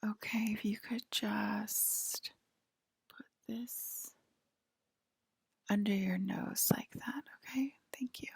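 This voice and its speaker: A woman softly whispering an ASMR roleplay to the listener as a polite, attentive alien abductor, pausing often. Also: medium pitch, vocal fry, slightly rough, no room sound. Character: polite, attentive female alien abductor queen acting as medical examiner. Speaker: ASMR performer.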